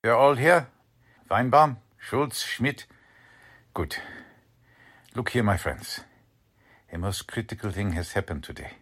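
A man acts out a middle-aged German-American patriarch in an old radio drama. His low voice is husky and swings widely as he speaks, earnest, anxious and urgent, with formal phrasing. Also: lively intonation, slow pace, long pauses, fairly bright tone, exaggerated voice. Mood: grave, urgent. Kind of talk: 1940s radio drama acting.

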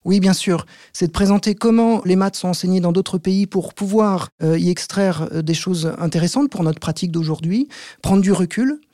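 A man who teaches maths, answering interview questions in a measured, step-by-step way with frequent hesitant pauses. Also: high-pitched, mellow, no echo, clean studio sound. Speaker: maths teacher, podcast guest.

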